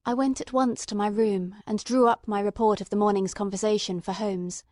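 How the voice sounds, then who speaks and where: A woman narrates a classic novel in a mid-pitched voice, recorded close and dry. Her delivery is measured and expressive, shaped as scripted storytelling. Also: dry recording. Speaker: audiobook narrator.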